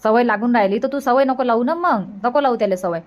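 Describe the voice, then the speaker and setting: Woman doing caring village mom for a cartoon, bit high, chatty, rural vidarbha marathi accent, always advising. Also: fast, highs cut off, close to an everyday voice. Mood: gently scolding. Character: caring, practical village mother running the household. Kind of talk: Marathi cartoon comedy dialogue.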